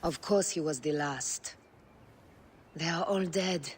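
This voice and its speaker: Resolute ancient Egyptian warrior wife. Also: female voice, low-pitched, slow pace, slight background noise. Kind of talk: dramatic video game cutscene acting.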